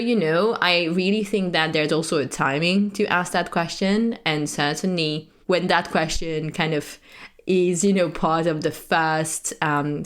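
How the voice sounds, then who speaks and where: Woman from france hosting a podcast, low voice, earnest and thoughtful, lots of "you know". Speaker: podcast host. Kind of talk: reflective podcast monologue.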